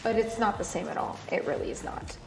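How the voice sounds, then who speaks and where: A woman's voice: mid-range voice, big pitch swings, quick pace, gravelly, music in the background. A young woman chatting casually and playfully with guests as she hosts a live stream, using plenty of slang. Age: young adult. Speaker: live cosplay panel host. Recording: echoey room, long reverb.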